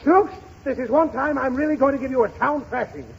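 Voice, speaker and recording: Exasperated, high-pitched radio dad. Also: male voice, a little fast, creaky. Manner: irritable, blustering. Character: exasperated, flustered father, a pompous lawyer. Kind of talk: 1930s radio comedy acting. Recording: muffled, hissy 1930s radio recording.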